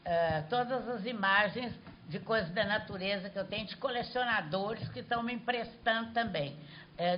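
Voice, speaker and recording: An older Brazilian woman holding forth after her lecture, spinning anecdotes with energy and the odd laugh. Her voice sits at an ordinary pitch for a woman. The audio is dull and lo-fi, with faint hiss underneath. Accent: Brazilian Portuguese. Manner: lively storyteller, laughs while telling anecdotes. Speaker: guest speaker, artist. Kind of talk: lecture Q&A, conversational. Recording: muffled lo-fi hall recording with faint noise.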